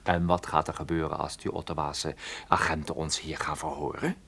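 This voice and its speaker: An actor playing a cool, sardonic private detective on the run in a radio play. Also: male voice, very deep voice, little pitch movement, normal speed, vocal fry, fairly bright tone, heavily acted. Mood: urgent, wry.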